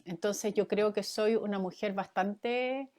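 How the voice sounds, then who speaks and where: A woman in her fifties from Chile, a guest answering interview questions in a thoughtful way, with frequent pauses and fillers. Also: medium pitch, a little mellow. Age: 50s. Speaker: guest, former mayoral candidate and coach.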